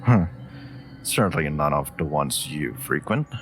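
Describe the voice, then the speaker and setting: A low-voiced, haughty wolf familiar acted by a man in a fantasy audio drama. He talks slowly and dryly, with smug, sharp-tongued bite and expressive swings of pitch. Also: faint music behind, exaggerated voice. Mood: dry, concerned. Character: dry, haughty, clever male wolf familiar of a mage. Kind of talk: scripted audio roleplay acting.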